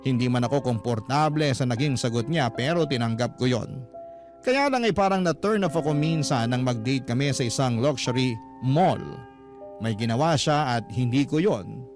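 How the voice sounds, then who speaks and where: A sincere Filipino man, voiced by an actor, narrates his love story in a mid-pitched voice. He sounds confiding and wistful, radio drama style, over faint music. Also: expressive, normal speed, close to an everyday voice. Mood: earnest, reflective. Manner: confiding, earnest.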